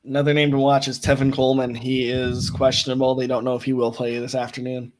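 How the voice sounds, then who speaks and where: A young man with a slightly raspy voice co-hosting a fantasy football show, giving injury news in a matter-of-fact way with many fillers. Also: mid-range voice, monotone, fast talker. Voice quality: a bit raspy. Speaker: co-host. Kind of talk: casual fantasy football chat.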